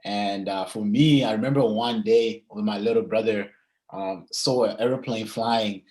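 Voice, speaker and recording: A man's voice: on the low side, a little mellow, poor audio. A young man, chatting casually and hesitantly as an athlete being interviewed. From Zimbabwe and South Africa. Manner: hesitant, frequent pauses and "you know".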